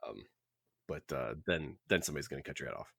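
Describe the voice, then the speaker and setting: Deep voiced guy talking books on a podcast, dry close sound, opinionated and rambly. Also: expressive, fast, vocal fry, pushed and bright, husky, dry recording. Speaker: podcast co-host. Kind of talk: casual book-club discussion.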